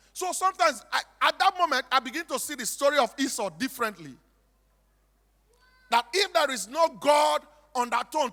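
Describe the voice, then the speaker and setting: High-pitched Nigerian man addressing an echoing hall. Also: pushed and bright. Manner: emphatic, repetitive, with rhetorical questions. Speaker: stage speaker and comic storyteller. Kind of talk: animated preaching-style storytelling to an audience. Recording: echoey hall with long reverb.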